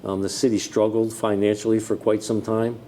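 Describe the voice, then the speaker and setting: A middle-aged American man, a fire chief, answering interview questions in a plain-spoken, matter-of-fact, conversational way. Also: moderate pace. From New Jersey, United States. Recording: steady background noise with low rumble.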